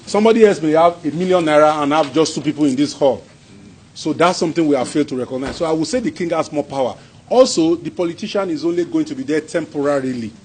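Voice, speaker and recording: Chuckling Yoruba-accented man with raised pitch. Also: fast talker, darkish tone, slight background noise. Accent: Yoruba-accented Nigerian English. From Nigeria. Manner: chuckles often, good-humoured, conversational, repeats phrases. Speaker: traditional king addressing an audience. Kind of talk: informal speech and Q&A answers. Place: hall with a live audience.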